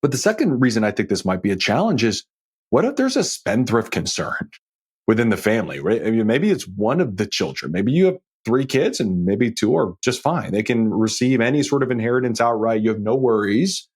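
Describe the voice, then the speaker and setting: A man's voice: lowish voice, very animated, fairly quick, a little mellow, breathy edge. A middle-aged man hosting a financial advice podcast solo, talking conversationally in an emphatic, folksy way with frequent rhetorical check-ins. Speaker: podcast host and financial planner.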